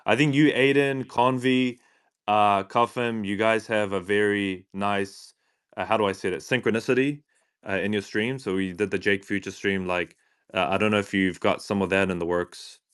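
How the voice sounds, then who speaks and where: A man's voice: lowish voice, fairly even intonation, moderate pace, a bit bright, clear, resonant tone. A man hosting a live audio chat who calls on speakers in brief, upbeat lines. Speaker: host moderating callers.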